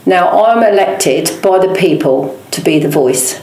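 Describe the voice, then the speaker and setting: Local councillor: low-pitched English woman, plain-spoken campaigner, roomy interview sound. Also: expressive, unhurried, dark tone. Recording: echoey room.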